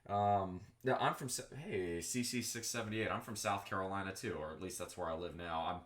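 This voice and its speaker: A man's voice: low-pitched, fairly quick, a bit bright. A man from Texas with a Southern accent, chatting casually and rambling as he cooks in his home kitchen. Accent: Southern American. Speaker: streamer cooking chili. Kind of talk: casual live-stream chat while cooking.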